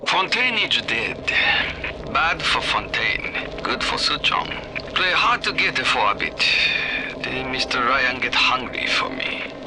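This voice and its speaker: A man's voice: medium pitch, sing-song, takes it slowly, over music, low-quality recording. A man playing a sly, self-serving foreigner in a game monologue, scheming in broken English. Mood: sly, calculating.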